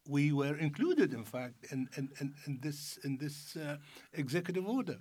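Husky guy on a panel, chuckles a lot, stops and restarts. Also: fairly high pitch, big pitch swings, a little mellow. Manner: chuckling, halting storyteller. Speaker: panel guest. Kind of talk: studio discussion.

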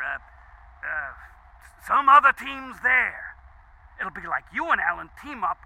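A man's voice: high voice, creaky, bright, forceful tone, a little hiss or hum, exaggerated voice, rough recording. An actor playing a brash, pushy male producer in a satirical audio drama, talking in an emphatic, bossy salesman's way.

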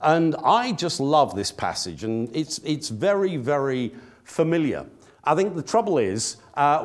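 A middle-aged man with a slightly croaky voice from a cold, giving a chatty, self-deprecating church sermon and reading scripture. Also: medium pitch. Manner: self-deprecating, chatty, with frequent fillers and restarts. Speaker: lay preacher.

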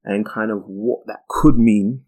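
British man, casual explainer podcast host. Fairly low pitch, strong vocal fry, informal and chatty. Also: fairly even intonation, unhurried, mellow.

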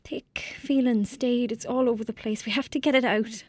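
An actress playing a frightened, guilt-ridden young girl in an audio drama, with an Irish accent and a halting, intense delivery that dips toward whispers. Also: a little high, steady tone, no room sound, close to an everyday voice. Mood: haunted, distraught.

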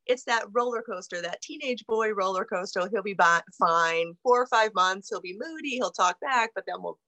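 A middle-aged woman from central New York, a guest on a video call, telling a family story in an earnest, measured way. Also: medium pitch, expressive, sharp tone. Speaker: guest, author. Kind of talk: interview answers.

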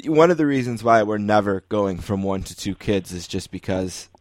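A man's voice: lowish voice, expressive, moderate pace, no room sound, studio quality. An American man, middle-aged, hosting a sports podcast with casual, conversational interviewing.

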